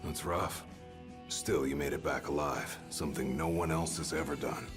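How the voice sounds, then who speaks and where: An actor playing a tough young royal bodyguard who talks bluntly and gruffly. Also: male voice, very deep voice, steady tone, normal speed, music in the background. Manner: blunt, laconic.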